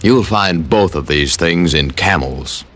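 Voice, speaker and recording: A man announcing a 1950s radio commercial in a smooth, persuasive delivery. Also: low-pitched, lively intonation, slow, fairly bright tone. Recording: old radio broadcast.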